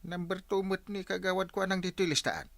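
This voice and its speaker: A man with an Ilocano accent playing an excitable village captain in a radio comedy drama. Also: high-pitched, a little fast, gravelly, dry recording, clean studio sound. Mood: exclaiming, grumbling.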